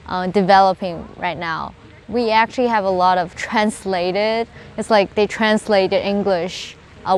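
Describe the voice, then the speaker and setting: A young woman from China, speaking English as her second language, answers interview questions slowly and hesitantly. She pauses often and restarts her sentences. Her voice sits at a middle pitch, with faint music playing underneath. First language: Chinese. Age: young adult. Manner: hesitant, many fillers and restarts. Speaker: interviewee, college student.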